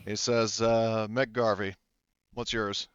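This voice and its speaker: A man's voice: lowish voice, flat delivery, slow, fairly bright tone, clean tone, no echo, dull audio. A man running a tabletop roleplaying game, loosely narrating and relaying what characters say in an improvised way. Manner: loose, relays characters' speech in third person. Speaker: game master.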